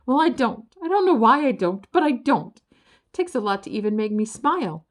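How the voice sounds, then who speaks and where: A fretful little male storybook creature, part hyena and part ant, acted out by a woman. He wails and sobs his words, pitched high and swooping, and repeats himself as he frets. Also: very animated, normal speed. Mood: wailing, weepy. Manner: wailing, sobbing, repetitive. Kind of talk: children's storybook character voice.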